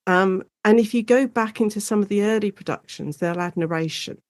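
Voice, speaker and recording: A woman speaking as an academic guest in an informal interview, explaining things in an animated way and acting out short quotes. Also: mid-range voice, brisk, dry recording, clean studio sound. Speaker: guest academic, Shakespeare and radio drama specialist.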